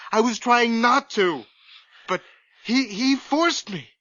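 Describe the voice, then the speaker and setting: An actor playing a haunted, distraught man with halting, stammering speech in a radio horror drama. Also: very high voice, pushed and bright, no echo, exaggerated voice. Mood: desperate. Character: haunted, distraught man tormented by his dead brother. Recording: dull, band-limited old radio recording.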